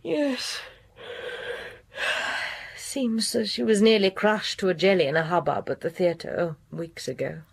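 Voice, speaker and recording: A woman's voice: mid-range voice, measured pace, vocal fry, long pauses, slightly rough. A woman playing a catty, flippant young society girl in a period radio drama, gossipy and sneering. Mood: scornful.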